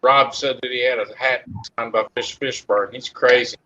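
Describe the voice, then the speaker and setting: A man's voice: mid-range voice, steady tone, normal speed, pushed and bright. A man in his fifties on a live stream panel, joking and teasing in casual chat, laughing often. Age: 50s. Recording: dull lo-fi stream audio.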